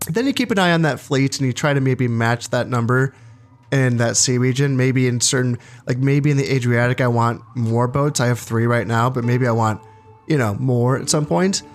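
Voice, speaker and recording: A man hosting a strategy game playthrough, talking casually and thinking aloud, congested from allergies and a bit low on energy. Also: moderate pace. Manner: relaxed, thinking aloud with fillers and restarts. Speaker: gaming channel host.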